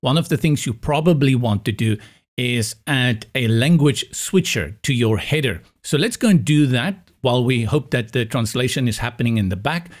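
Upbeat Afrikaans-speaking man explaining software. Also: medium pitch, expressive, normal speed. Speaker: web tutorial host. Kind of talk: screen-recorded software tutorial. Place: small room with window blinds, indoors. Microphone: large studio mic in front of face.

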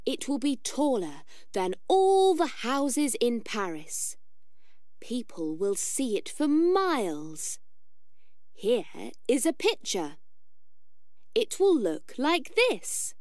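A woman's voice: a little high, very animated, slow pace, a little mellow, dry recording, studio quality, pitched above the performer's own voice. A woman voicing an eager, proud old inventor man in a children's storybook, exclaiming as she goes.

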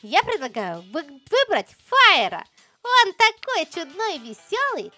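High, animated woman playing along for kids. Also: a little slow, no echo, faint music behind. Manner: exclamatory, chatty, addresses the young viewer directly. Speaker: host of a children's gaming channel. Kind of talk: playful game play-along commentary for children.

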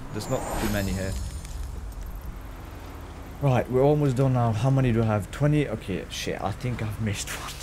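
A man's voice: fairly low pitch, noisy background. A man from Norway with a Norwegian accent, chatting casually and swearing freely as he streams a video game. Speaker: live streamer. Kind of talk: casual gaming livestream commentary, chatting with viewers. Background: game ambience with vehicle sounds.

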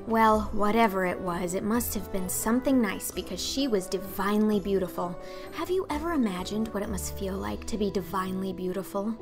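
A woman's voice: medium pitch, fairly quick, over music, natural voice. A woman voicing a talkative, dreamy little orphan girl in an audiobook, effusive, earnest and theatrical. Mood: wistful, dramatic. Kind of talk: audiobook character acting.

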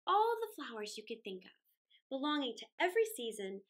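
A middle-aged woman reading a children's story aloud indoors, with deliberate, dramatic phrasing and drawn-out words. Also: sits high, big pitch swings, fairly bright tone. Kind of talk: expressive read-aloud storytelling. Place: small indoor room, plain wall.

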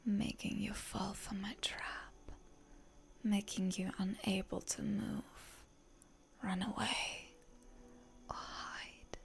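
A woman playing a seductive, predatory female vampire in a whispered roleplay ASMR, teasing and deliberate in a breathy voice. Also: medium pitch, level tone. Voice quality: breathy whisper with heavy creak.

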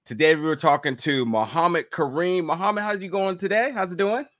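A man's voice: a little high, brisk, fairly bright tone, no room sound, studio quality. A man in his thirties hosting an online interview channel, upbeat and speaking straight to his viewers. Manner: upbeat, addresses viewers as folks. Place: home gaming room.

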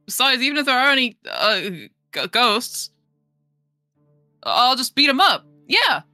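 A woman voicing a plucky, excitable young female raccoon sailor from a game, brash and full of mate-style slang. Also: sits high, measured pace, no room sound, studio quality, faint music behind, pitched above the performer's own voice, exaggerated voice. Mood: nervous bravado. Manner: Aussie slang, brash, stammering when scared. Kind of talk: amateur game fandub.

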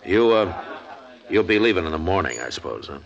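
Calm, probing American marshal, radio western; low voice, understated delivery, dull vintage sound. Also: male voice, vocal fry, exaggerated voice. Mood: quietly probing. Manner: measured, hesitant, understated. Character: calm, deep-voiced frontier marshal questioning men steadily. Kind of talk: old-time radio western drama acting. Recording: muffled vintage radio recording with music.

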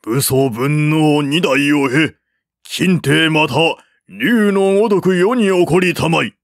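A man's voice: a little high, slow, no room sound, studio quality, voice pushed up. A man voicing an earnest court minister who delivers lofty speeches in a grand, emphatic audiobook style. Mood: impassioned, solemn.

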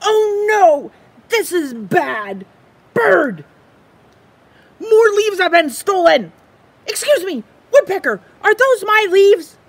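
Woman acting a shrill, frantic storybook squirrel. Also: sing-song, takes it slowly, pauses often, exaggerated voice. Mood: distraught. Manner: exclamatory, melodramatic, accusing. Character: frantic, possessive squirrel, easily panicked, shrill and excitable. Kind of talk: children's storybook read-aloud.

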